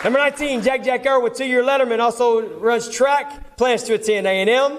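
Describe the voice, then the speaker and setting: A high-pitched male voice announces honorees at a live award ceremony. He works through a roster informally, in the manner of a coach presenting his team. A crowd and clear background noise sit around the voice. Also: steady tone, a little fast. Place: public award ceremony with audience.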